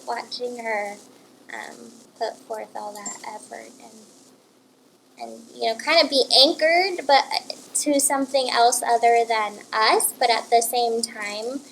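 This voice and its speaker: A woman's voice: a little high, fairly even intonation, takes it slowly, creaky, fairly bright tone, slight background noise, poor audio. A young woman who grew up in the United States with Romanian as her first language, chatting casually as a guest in a coffee shop with many "um"s and "like"s. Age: young adult. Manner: chatty, laughs often, frequent "um" and "like". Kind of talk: casual interview chat.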